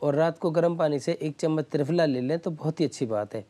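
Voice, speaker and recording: A man's voice: fairly high pitch, fairly quick, dark tone. A man speaking as a doctor on a health call-in show, giving step-by-step advice in an instructive way.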